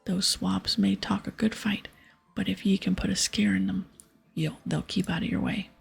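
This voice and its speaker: Gruff pirate captain, played by a woman: husky half-whisper, heavy creak, theatrical old-salt talk, game dialogue over music. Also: medium pitch, fairly even intonation, normal speed, exaggerated voice. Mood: conspiratorial. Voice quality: breathy, half-whispered, gravelly. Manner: archaic pirate talk with arrs and ayes. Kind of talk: ASMR video game dialogue read-aloud. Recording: soft music behind.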